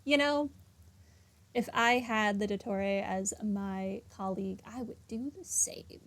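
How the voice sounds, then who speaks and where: A woman's voice: mid-range voice, slow, fairly bright tone, slight background noise. A woman hosting a gaming playthrough with casual commentary, reacting and reading along. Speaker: gaming video host. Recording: faint game music behind.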